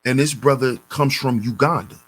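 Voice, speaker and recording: A man hosting a commentary channel, reading a news story and lecturing on it in a deliberate, preachy way, calling his listeners brothers. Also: medium pitch, fairly bright tone, slightly rough, poor audio.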